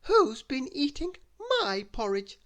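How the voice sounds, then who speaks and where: A reader doing a high-voiced mother bear. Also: big pitch swings, slow, exaggerated voice. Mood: questioning. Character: medium-sized mother bear.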